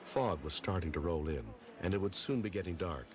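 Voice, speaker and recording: A man's voice: deep voice, brisk. A man narrating a documentary about true events in a dramatic, scripted style.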